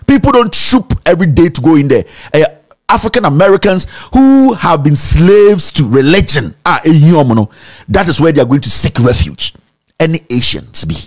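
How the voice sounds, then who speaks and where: A man's voice: on the high side, moderate pace. A young Ghanaian man with a Ghanaian accent, hosting a mocking commentary show and mixing English with Twi. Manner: animated, sarcastic, breaks into laughter and sing-song mockery. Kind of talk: animated commentary. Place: indoor studio set.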